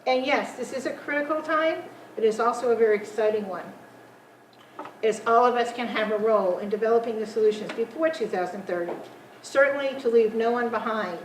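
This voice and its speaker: A woman's voice: a little high, a little fast, slight background noise. A woman delivering a formal prepared speech at a conference, reading steadily from her text. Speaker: panel speaker. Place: large conference room. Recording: echoey conference room, distant mic.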